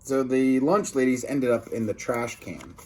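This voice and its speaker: A man's voice: mid-range voice, unhurried. A man reading a children's book aloud expressively, like a teacher, with informal asides to his listeners.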